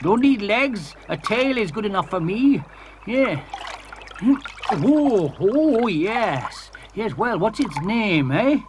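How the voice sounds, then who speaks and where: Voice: mid-range voice, big pitch swings, slow, background noise. A storyteller voicing a grumpy, scolding old sea reptile in a children's story. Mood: grumbling. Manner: grumbling, scolding.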